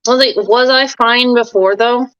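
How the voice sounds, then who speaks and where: A woman's voice: on the high side, fairly even intonation, unhurried, crowd noise, low-quality recording. A woman chatting casually as a player in a tabletop game, talking on a phone over an online call. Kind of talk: casual chat.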